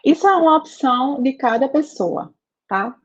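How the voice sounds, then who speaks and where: A woman's voice: high voice, lively intonation, normal speed. A Brazilian woman teaching a programming class, talking things through with students and checking in with "né?" and "tá?". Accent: Brazilian Portuguese. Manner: conversational, frequent "né?" and "tá?" checks. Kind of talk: live online class, asking students questions. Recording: slightly dull lo-fi audio.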